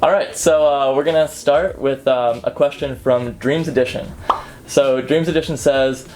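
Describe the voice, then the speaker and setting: A young man in his twenties hosting a casual interview, talking in a relaxed way with many fillers. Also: medium pitch, moderate pace, background noise. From United States. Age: 20s. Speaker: host, admissions consultant.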